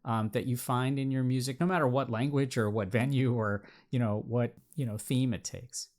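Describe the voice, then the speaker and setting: A male podcast host in a conversational interview. His voice sits at a medium pitch, and he builds long, winding questions full of restarts and repeated words. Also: steady tone, moderate pace, darkish tone.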